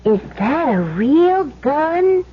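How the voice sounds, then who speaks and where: A performer with a high voice playing an eager, wide-eyed young boy who plays cowboy in a radio drama. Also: sing-song, drawn-out words, heavily acted. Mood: awed, curious. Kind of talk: old-time radio drama acting. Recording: dull, noisy vintage radio recording.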